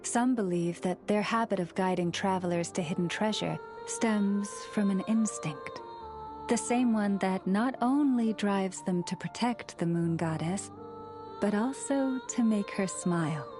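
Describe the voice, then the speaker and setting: Woman acting a young moon priestess, game cutscene, unhurried, solemn, gentle, formal, reverent, faint music behind. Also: mid-range voice, close to an everyday voice. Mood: solemn, wistful.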